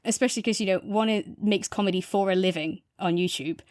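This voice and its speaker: A woman's voice: mid-range voice, fast, darkish tone, dry recording, clean studio sound. A woman co-hosting a podcast in a casual review chat, enthusiastic and wandering freely between points. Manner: enthusiastic, discursive. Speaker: podcast co-host.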